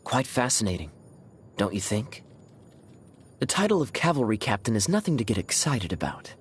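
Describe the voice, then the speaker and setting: A man playing a suave, teasing cavalry captain in a video game, with a smooth, theatrical drawl. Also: lowish voice, sing-song, normal speed, slight background noise. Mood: sly, intrigued. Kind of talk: video game character voice lines. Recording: studio voice over background music.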